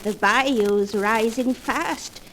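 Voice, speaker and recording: An actress playing a shrewd, vengeful old aunt in a radio drama, speaking with deliberate, pointed phrasing. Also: female voice, fairly high pitch, a bit bright. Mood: sly, taunting. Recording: noisy vintage radio recording.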